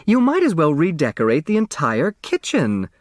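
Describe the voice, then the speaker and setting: A man's voice: fairly high pitch, wildly swooping pitch, moderate pace, no echo, studio quality, pitched above the performer's own voice. A man voicing a pretentious, managing mother who fancies herself a decorator, in an audiobook. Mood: airy, pushy. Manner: affected, managing. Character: pretentious society mother, a self-styled decorator.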